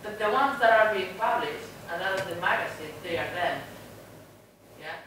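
A woman's voice: lowish voice, lively intonation, moderate pace, fairly bright tone. Woman speaking from far in an echoey hall. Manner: earnest, emphatic, many fillers. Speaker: panelist. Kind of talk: panel discussion. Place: large lecture hall. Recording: echoey lecture hall from far off, background noise.

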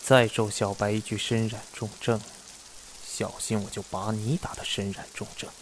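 A man acting an aloof, possessive immortal lord in a fantasy audio drama, cool, measured and sure of himself. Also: lowish voice, little pitch movement, noisy background, natural voice. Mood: cool threat.

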